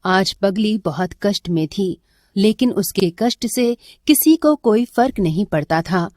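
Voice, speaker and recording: A woman's voice: medium pitch, expressive, measured pace, darkish tone, no echo, studio quality. A woman narrating a scripted dramatic story as a storyteller.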